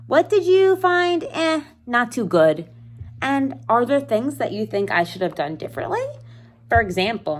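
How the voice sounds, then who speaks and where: A woman schoolteacher explaining an assignment to young students in an informal, encouraging way, speaking to them directly and posing questions. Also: fairly high pitch. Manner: encouraging, instructional, with rhetorical questions; informal, addresses listeners directly.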